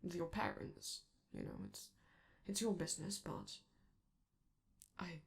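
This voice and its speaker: Casting: woman voicing affectionate, protective showbiz robot; lowish, heavy vocal fry, hushed intimate roleplay delivery with a theatrical streak. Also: wildly swooping pitch, measured pace, full character voice. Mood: concerned, gentle. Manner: soft, theatrical, affectionate, hesitant, breaking into whispers. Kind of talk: intimate ASMR comfort roleplay.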